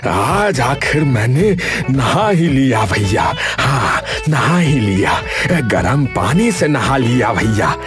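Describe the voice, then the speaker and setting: A man voicing a jolly, easygoing elderly village father in an animated comedy, drawling and exclaiming with relish. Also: medium pitch, slow pace, hardly any pauses, over music, voice pushed down. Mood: delighted. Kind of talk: Hindi animated comedy story voice acting.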